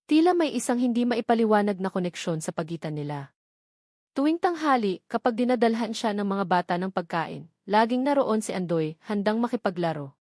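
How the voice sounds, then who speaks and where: A woman's voice: medium pitch, a little mellow, dry recording, studio quality. A woman narrating a scripted dramatic love story as a storyteller.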